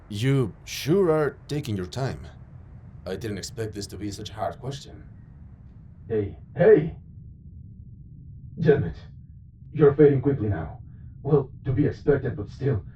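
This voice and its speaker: A man playing a calm, caring field medic who reassures a patient in an intimate audio roleplay. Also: mid-range voice, a little hiss or hum. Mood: gentle small talk, then urgent, alarmed. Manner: gentle, reassuring, matter-of-fact, addressing a patient directly.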